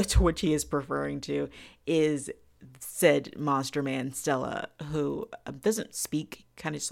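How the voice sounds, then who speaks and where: A woman's voice: sits low, creaky. A woman reviewing in a casual podcast style, frank, profane and enthusiastic.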